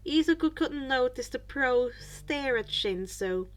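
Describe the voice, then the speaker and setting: A woman narrating a written story as a podfic reader, with expressive scripted delivery. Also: high voice, measured pace.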